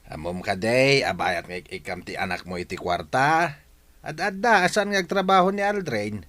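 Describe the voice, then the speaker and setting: A man acts out a blunt village neighbor in a radio drama. He is chatty and exclaiming, full of complaint, with pitch that swings freely. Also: lively intonation, moderate pace, bright, forceful tone. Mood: complaining, gossipy. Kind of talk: Ilocano radio drama acting.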